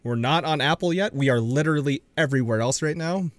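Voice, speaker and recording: A man's voice: fairly high pitch, fast talker, no room sound. A young man co-hosting a podcast, chatting casually and throwing in dry joking asides. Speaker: podcast co-host.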